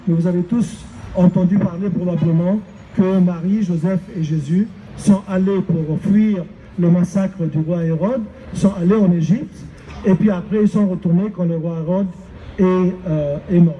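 An older man from Egypt giving an emphatic speech at an outdoor street rally into a handheld mic, often pausing on fillers. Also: sits high, mellow, dull audio, a little hiss or hum. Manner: emphatic, hesitant with many fillers. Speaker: rally speaker. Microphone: handheld microphone.

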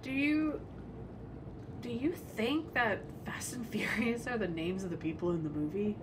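A woman acting as a brash, sarcastic punk gunslinger, tough and fiercely loyal. She speaks at a middle pitch in an audio drama, a little away from the mic with clear noise behind. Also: unhurried, close to an everyday voice. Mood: flustered, sarcastic. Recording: distant mic, noisy background.

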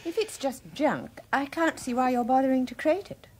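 An actress playing a sentimental, hesitant but gently stubborn English wife in a radio drama. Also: female voice, sits high, moderate pace. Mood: reserved. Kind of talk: 1980s British radio drama acting.

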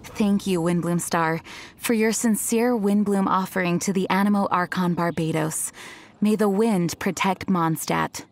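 A woman playing a poised, earnest young knight leader in a video game, speaking in a formal, courteous, measured way. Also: fairly low pitch, a little hiss or hum. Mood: formal, gracious. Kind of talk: video game character acting. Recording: music behind the voice. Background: game music.

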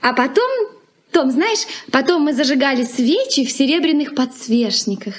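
An actress playing a polite, nostalgic young bride-to-be who speaks hesitantly, in a Soviet radio play. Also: female voice, high voice, lively intonation, normal speed. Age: young adult.

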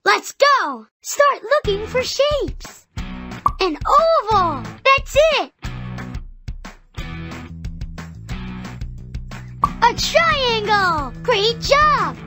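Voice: extremely high pitch, very animated, very slow, loud music behind the voice, exaggerated voice. A performer playing a cheerful little boy builder who guides a children's cartoon game with upbeat, simple encouragement. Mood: cheerful, instructive, then excited, encouraging.

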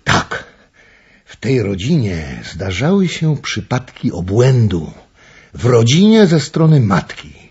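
An actor playing an older upper-class doctor, a stern but courteous uncle with a husky voice and formal manner, in a radio drama. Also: male voice, medium pitch, huge pitch swings, slow, darkish tone, heavily acted. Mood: grave. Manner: formal, courteous, hesitant asides. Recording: dull, muffled recording.

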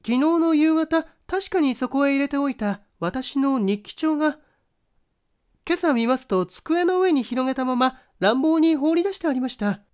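Man putting on a high-pitched refined young lady. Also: expressive, moderate pace. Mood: uneasy, polite. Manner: polite, hesitant, feminine formal speech. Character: refined, anxious young lady giving testimony.

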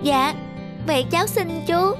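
A woman's voice: very high-pitched, measured pace, over loud music, voice pushed up. A woman voicing a humble, selfless nine-year-old boy in a cartoon, speaking politely.

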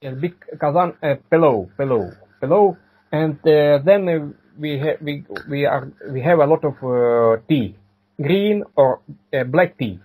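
Guy in his 40s on a podcast, raspy voice, halting english, says things twice. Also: a little high, narrow, tinny audio. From Ukraine. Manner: halting, repeats words and phrases. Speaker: podcast guest. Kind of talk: casual interview answers.

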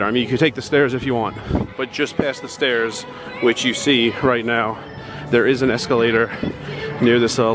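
Man walking and giving directions. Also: mid-range voice. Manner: plain, instructive, pointing the way while walking. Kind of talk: casual walking guide. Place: indoor casino halls and an outdoor sidewalk by a highway. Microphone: handheld camera at arm's length. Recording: traffic noise behind.

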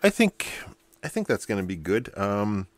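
A man's voice: lowish voice, very animated, moderate pace, no room sound. A middle-aged man giving casual unboxing commentary, rambling and thinking aloud as he goes. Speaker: host. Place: indoor hobby workbench.

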